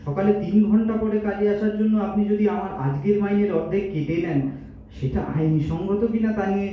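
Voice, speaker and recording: Man acting earnest fast-talking young clerk, echoey. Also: sits high, natural voice. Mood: respectful, restrained. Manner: deferential, impassioned, speechifying. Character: earnest, principled young male accountant, humble yet defiant. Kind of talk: amateur stage audio drama acting. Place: multipurpose hall stage. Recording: distant echoey hall, dull, faint noise.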